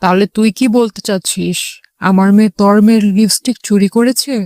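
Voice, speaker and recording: A woman's voice: mid-range voice, fairly quick, a little mellow, no room sound, studio quality, natural voice. A woman voicing a haughty, quarrelsome neighbour aunt in a children's cartoon, scornful and indignant.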